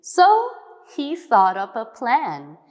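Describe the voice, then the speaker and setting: A woman reading scripted fables as a storybook narrator, expressive, dramatic and deliberate. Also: on the high side. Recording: long hall reverb.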